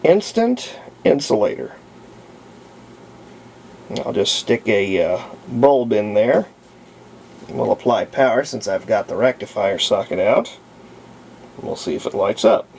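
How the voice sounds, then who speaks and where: A man's voice: fairly low pitch, sing-song, unhurried, gravelly, pauses often, darkish tone, slight background noise, rough recording. A man talking casually and unscripted in a folksy way as he demonstrates a hands-on repair at an indoor workbench. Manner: folksy, unscripted, narrating while working. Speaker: hobbyist radio restorer presenting a repair.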